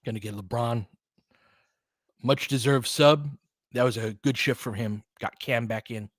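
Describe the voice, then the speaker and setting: An American man with a mid-pitched voice hosts a casual basketball stream. He talks conversationally and analytically, like someone explaining the game to a chat while calling it. The recording is dry, with very little room sound. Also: measured pace, no echo. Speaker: basketball commentator and host of a live stream. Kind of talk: casual live play-by-play commentary with chat.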